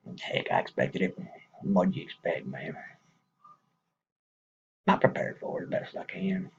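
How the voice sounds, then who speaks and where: A man's voice: sits low, wildly swooping pitch, measured pace, gravelly, dull audio, a little hiss or hum. A man with a Texas accent speaking casually to viewers in a folksy, rambling way, chuckling between thoughts.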